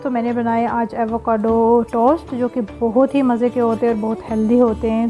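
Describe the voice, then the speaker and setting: A woman, hosting her cooking vlog in a casual, rambling voice-over full of filler phrases and blessings. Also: on the high side. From Pakistan. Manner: warm religious blessings and greetings. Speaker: cooking and family vlogger.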